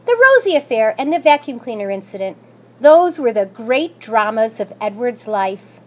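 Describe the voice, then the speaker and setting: High-pitched, expressive woman storyteller. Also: moderate pace. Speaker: school staff member reading aloud.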